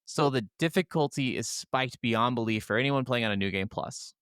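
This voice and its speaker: A man co-hosts a casual gaming podcast with a clear, smooth voice in a dry, close recording. He talks informally and swears now and then, at a medium pitch. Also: moderate pace, a bit bright, no room sound. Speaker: podcast co-host.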